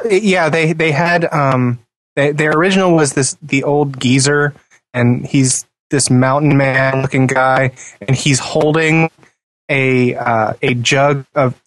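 A man's voice: mid-range voice, a bit bright, no room sound, rough recording. A man co-hosting a casual gaming podcast, chatting in a wry, joking way. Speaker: podcast co-host. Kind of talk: casual panel chat about gaming.

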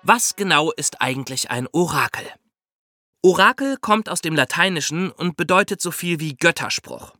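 Bright-voiced man explaining history. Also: on the high side, animated, moderate pace, no echo, clean studio sound, faint music behind. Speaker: podcast co-host. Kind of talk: conversational explanatory narration.

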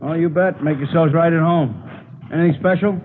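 An actor playing an affable, folksy, talkative salvage yard owner in a 1950s radio drama. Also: male voice, fairly high pitch, fairly even intonation, brisk, close to an everyday voice. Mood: friendly, welcoming. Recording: noisy, phone-like vintage radio broadcast.

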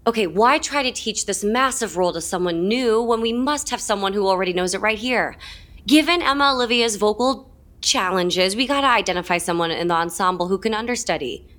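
An actress playing a brisk, pragmatic member of a musical's creative team in an audio comedy, firm and pointed. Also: female voice, mid-range voice, fairly bright tone, close to an everyday voice. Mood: brisk, insistent. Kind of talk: audio comedy drama acting.

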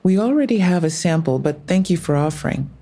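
An actress playing a composed, sympathetic police officer in an audio drama, speaking in a measured, formal and careful way. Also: female voice, sits low, lively intonation, mellow. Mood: calm, careful. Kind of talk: full-cast audio drama acting.

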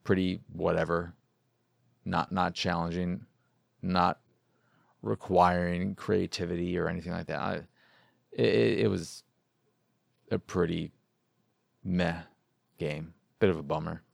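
A man's voice: low voice, steady tone, a little slow, creaky, long pauses, dry recording, studio quality. A man hosting a gaming podcast alone, talking in an informal, playful, self-deprecating ramble and sounding tired. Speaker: solo video game podcast host. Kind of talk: casual podcast sign-off, rambling.

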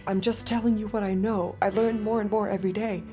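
A young woman with an American accent playing an earnest, secretive android in an audio drama, speaking in a hushed, halting and pleading way. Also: mid-range voice, fairly even intonation, faint music behind, close to an everyday voice. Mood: hushed, confessional. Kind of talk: naturalistic audio drama acting.

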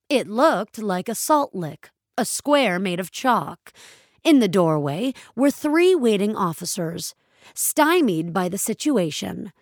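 A woman reads dramatic fiction as a narrator, taking her time over each phrase. Her pitch moves in lively swings, and the recording is dry and close, with no room around the voice. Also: dry recording.

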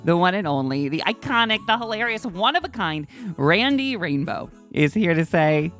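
A man hosting a podcast, talking in a casual, gushing way and sounding hoarse from a cold. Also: high voice, normal speed, faint music behind. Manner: gushing, animated, many restarts. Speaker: podcast host. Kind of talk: casual chat.

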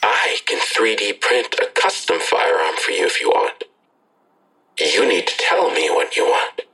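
A sinister machine intelligence selling forbidden things, very low and full of gravel. The voice is breathy yet sharply edged, menacing and cryptic, coldly pressing in the manner of an audio drama villain. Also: male voice, sing-song, measured pace, pushed and bright, soft background music, full character voice. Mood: cool, probing. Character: sinister dark-net chatbot dealer, cryptic and coldly insistent.

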